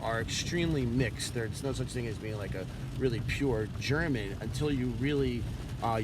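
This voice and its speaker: A man rambling in a vlog monologue, halting often with fillers and restarts. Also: medium pitch, heavy background noise. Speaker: vlogger.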